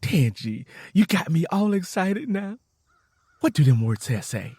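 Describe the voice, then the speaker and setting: Man doing a blunt southern country wife, twenties, wary and teasing, raised pitch, up and down a lot. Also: very animated, a little slow, dark tone, slightly rough, dry recording, clean studio sound, heavily acted. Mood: doubtful, hopeful. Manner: blunt, probing, folksy dialect.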